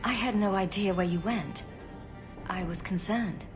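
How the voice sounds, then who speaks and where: An actress voicing a female adventurer hero, gentle and earnest, in a video game. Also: medium pitch, unhurried, lightly acted. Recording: game audio with reverb and music.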